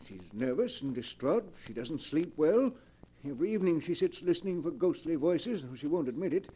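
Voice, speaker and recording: An actor playing a fussy, scheming professor of spiritualism, pompous and precise, in 1940s radio drama. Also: male voice, fairly high pitch, expressive, fairly quick, warm tone, breathy edge, poor audio.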